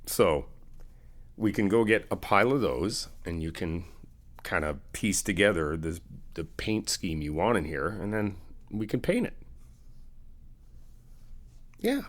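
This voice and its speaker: Man acting as a chill helpful guy friend, kinda low voice, casual asmr roleplay chat, reassuring. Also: very animated, moderate pace. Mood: upbeat, reassuring. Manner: casual, reassuring, chuckling, conversational. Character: easygoing, helpful male friend helping someone move in. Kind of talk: ASMR roleplay, casual one-sided conversation.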